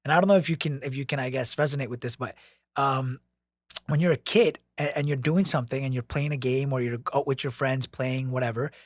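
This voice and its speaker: A man's voice: mid-range voice, steady tone, fairly quick, no room sound. Young guy rambling through a story, lots of uh and restarts, dry close sound, swears casually. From born in New York, raised abroad by grandmother. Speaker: podcast co-host.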